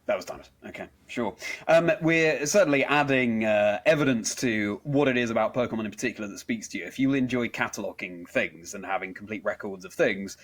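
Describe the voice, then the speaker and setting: A man's voice: lowish voice, fairly quick, few pauses. A man hosting a conversational interview, attentive and encouraging as he reacts and asks follow-up questions. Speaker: podcast host, interviewer.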